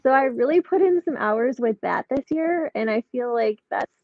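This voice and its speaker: A woman's voice: fairly high pitch, expressive, moderate pace, no room sound. A middle-aged woman, a podcast guest, chatting in an upbeat way and telling stories over a video call from a room at home. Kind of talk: casual interview chat. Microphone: webcam on a video call. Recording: dull lo-fi video call audio.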